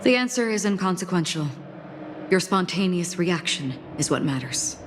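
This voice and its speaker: A woman playing a calm, enigmatic, forgetful stranger in a video game, speaking in a formal, soft, reflective way. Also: fairly low pitch, moderate pace, background noise, lightly acted. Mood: wistful, searching. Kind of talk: scripted game voice acting, slow and measured. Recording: music behind the voice. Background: music.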